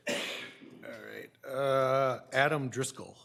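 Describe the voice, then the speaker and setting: A man chairing a city meeting, brisk, procedural and apologetic in a council chamber. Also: mid-range voice, gravelly, fairly bright tone. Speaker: commission chair.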